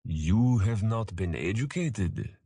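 Guy doing an old dragon for a storybook, gravelly, super slow and formal, deep and close up dry. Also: animated, darkish tone, no echo, exaggerated voice. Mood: commanding. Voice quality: gravelly, tight with disuse. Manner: slow, formal, weighty delivery.

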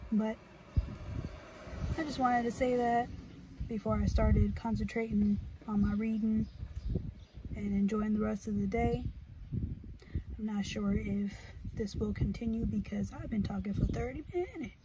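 An American woman in her thirties chatting casually and reflectively in an earnest, halting way, as a vlogger in a car. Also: mid-range voice, fairly even intonation. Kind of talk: casual, reflective chit chat. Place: inside a parked car. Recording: distant mic, clear background noise.

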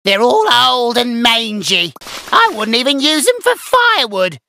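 Grumpy old grandparent, unhurried. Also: male voice, very high voice, very animated, vocal fry, sharp tone, a little hiss or hum, exaggerated voice. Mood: dismissive. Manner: curmudgeonly, blunt.

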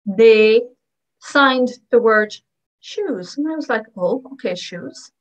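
A woman speaking as a voice-over interpreter, giving first-person testimonies of signers in short, measured phrases. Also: fairly high pitch, expressive, dull audio. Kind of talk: voicing first-person testimonies in plain narration.

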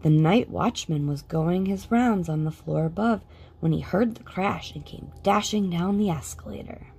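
A woman reading a children's picture book aloud with a lilting, expressive storytelling manner. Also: fairly low pitch, moderate pace, gravelly, slight background noise. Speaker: storybook reader.

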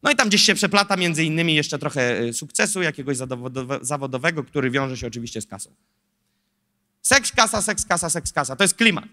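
A man in his thirties preaches with a handheld mic, animated and rhetorical, tossing lively asides to the congregation. His voice sits high for a man and comes across sharp and bright. It rings out with the long reverb of a large hall. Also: a little fast. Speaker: pastor. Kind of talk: sermon. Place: large church stage in front of an audience.

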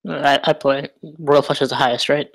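A nervous, twitchy poker player acted by a man in a film table read. He speaks meekly in a very flat tone, stammering and hesitating, on a very dry recording. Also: mid-range voice, normal speed, vocal fry, no room sound, rough recording. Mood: uneasy.

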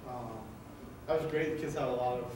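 A man's voice: medium pitch, brisk. A man in his thirties giving a conference keynote from a podium, speaking loosely with many ums and uhs. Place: large conference hall, indoors. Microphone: podium mic, heard from a distance. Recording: echoey hall from far off, with hiss.

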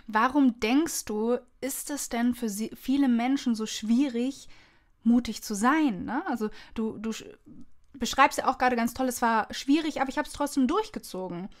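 A woman's voice: on the high side, normal speed. A woman hosting a podcast and interviewing in a conversational style.

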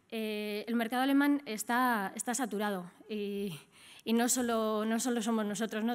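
A middle-aged woman with an accent from Spain, giving a business presentation as a conference speaker in a conversational way, often checking in with short tag questions. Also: on the high side. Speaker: trade office director, conference speaker. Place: indoor conference stage at a lectern.

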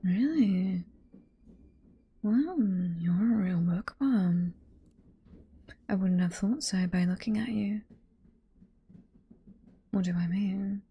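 Casting: actress as teasing older female librarian, British accent, ASMR roleplay. Hushed, very airy whisper, slow and sweet. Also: mid-range voice, full character voice. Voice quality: soft, breathy whisper.

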